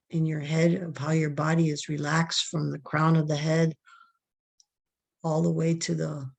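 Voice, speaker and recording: Slow, low-voiced woman guiding a meditation. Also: vocal fry. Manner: soft, calm, drawn-out instructions. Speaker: meditation guide. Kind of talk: guided relaxation meditation.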